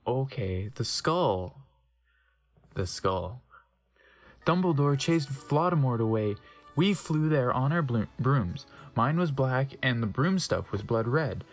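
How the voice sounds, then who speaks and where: A man's voice: medium pitch, lively intonation, takes it slowly. Wry man reading a story over music. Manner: wry, stumbles over misspelled words and comments on them. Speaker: narrator reading fan fiction aloud. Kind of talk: dramatic comedic reading with offhand asides.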